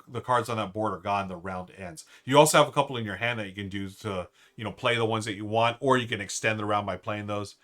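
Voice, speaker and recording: A man's voice: fairly low pitch, sharp tone. A man hosting a casual live stream, ranking board games in an enthusiastic, rambling way with lots of fillers and restarts. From United States.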